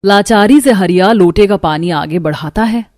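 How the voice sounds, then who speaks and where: A woman's voice: mid-range voice, normal speed, darkish tone. A woman narrating a scripted story in a dramatic storytelling manner.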